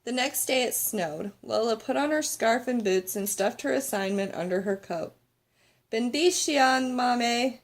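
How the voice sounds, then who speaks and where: A woman narrating a children's picture book aloud in an expressive read-aloud style. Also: mid-range voice, normal speed, vocal fry, hardly any pauses. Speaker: storybook reader.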